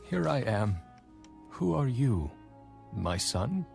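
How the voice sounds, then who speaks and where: An actor playing a solemn, dying old father in a dramatised audio Bible, giving blessings in a deliberate, gravelly voice. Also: mid-range voice, very animated, a little mellow, full character voice. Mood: questioning. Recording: background music under the voice.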